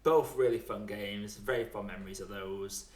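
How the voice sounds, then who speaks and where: A man hosting a casual podcast chat, wandering into tangents in a halting, self-deprecating way. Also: fairly low pitch, steady tone, echoey room. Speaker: podcast host.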